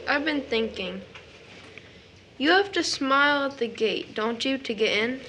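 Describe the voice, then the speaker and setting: An eager, innocent little boy played by a woman in an audio play. The voice is very high and bright, full of childlike wonder. Faint noise sits behind the recording. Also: expressive, a little slow, full character voice. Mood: sleepy, earnest. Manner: childlike grammar and wondering questions. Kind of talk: stage drama audio acting.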